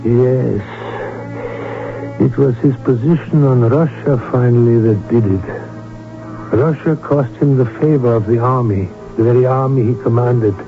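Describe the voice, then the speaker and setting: An actor in a radio drama playing an outspoken royal physician, who speaks and narrates in a dramatic, deliberate, emphatic way. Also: male voice, medium pitch, natural voice. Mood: reflective, wry. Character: outspoken royal physician, urgent, bitter, narrating his past. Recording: tinny old radio broadcast with music.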